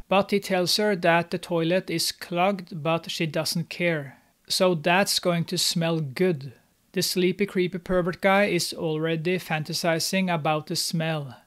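A young man with a fairly high voice narrates a film recap, steady and scripted. His tone stays snarky and mocking as he pokes fun at the characters. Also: a little slow. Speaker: movie recap host. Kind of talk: sarcastic movie recap narration. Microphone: studio mic, on camera.

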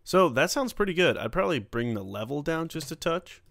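A man hosting a casual software tutorial, talking informally through each step as he works. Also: fairly high pitch, sing-song, fairly quick, creaky, no room sound, studio quality. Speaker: tutorial host.

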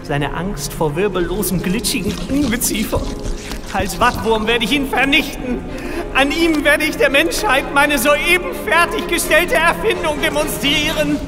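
Radio-play villain: whiny, cackling megalomaniac nerd, high voice, cavernous reverb, music underneath. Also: unhurried. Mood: menacing. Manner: theatrical, ranting, pompous. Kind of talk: comic radio play acting. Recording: long reverb, music behind.

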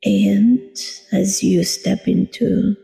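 A woman guiding a meditation in a soothing, deliberate manner, leaving long pauses between short phrases. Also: mid-range voice, steady tone, vocal fry, dark tone. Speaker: meditation guide, coach. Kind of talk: guided meditation narration. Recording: long reverb with music behind. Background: meditation music.

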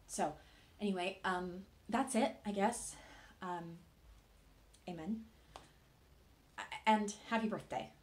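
An actress playing a hesitant, grieving young woman in a naturalistic monologue, praying awkwardly with earnest, halting, tentative speech. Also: mid-range voice, lightly acted. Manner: halting, tentative, with long pauses. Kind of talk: naturalistic contemporary dramatic monologue.

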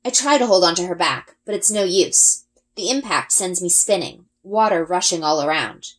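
A woman reading a first-person children's adventure story aloud in an expressive storytelling style. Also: lowish voice, normal speed. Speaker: read-aloud reader, elementary school.

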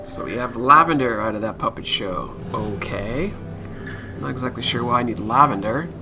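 A man's voice: medium pitch, lively intonation, moderate pace. A man giving casual live let's play commentary, musing aloud to himself and his viewers. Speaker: game streamer. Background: game music.

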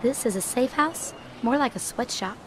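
A woman's voice: on the high side, animated, normal speed, noisy background, close to an everyday voice. A woman playing a playful, ditzy but confident glamorous bodyguard boss in a video game. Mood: breezy. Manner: playful, cutesy. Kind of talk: video game cutscene voice acting.

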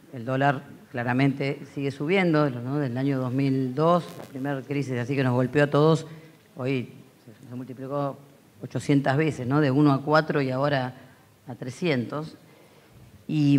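An Argentine man talks on a conference panel in a reflective, storytelling way. He pauses often with fillers and speaks into a handheld mic in a large hall. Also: medium pitch. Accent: Argentine Spanish. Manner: reflective, anecdotal, many fillers.

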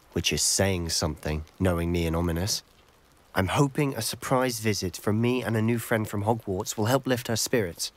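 A young man plays a determined teenage wizard in a video game. His British voice sits on the low side, earnest and intense as he explains himself. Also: natural voice. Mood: troubled, determined. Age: young adult. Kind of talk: video game character dialogue.

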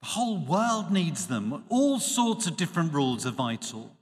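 A man, a priest giving a measured, expressive Sunday homily at a church lectern. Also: fairly high pitch. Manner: measured, expressive preaching with dropping phrase ends. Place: church sanctuary. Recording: reverberant church acoustics.

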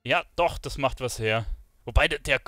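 A man's voice: mid-range voice, moderate pace, sharp tone, dry recording, clean studio sound, faint music behind. A man streaming a video game, thinking aloud in informal commentary sprinkled with English gamer slang. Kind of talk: casual live gaming commentary.

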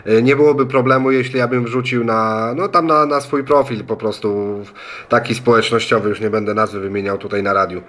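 A man's voice: mid-range voice, fairly even intonation, fairly quick, a bit bright. A man in a radio club room, speaking in a friendly, slightly hesitant way as he talks on the radio. He spells out call signs. His voice is picked up by a phone lying in the room. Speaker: ham radio student operator. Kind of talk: amateur radio contact. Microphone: phone recording in the room.

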